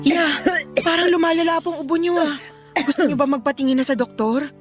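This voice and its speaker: A caring young wife acted by a woman pleads earnestly in a high voice. She sounds devoted, respectful and anxious, in radio drama style with light music underneath. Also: a little fast, lightly acted. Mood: worried. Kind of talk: Filipino radio drama acting.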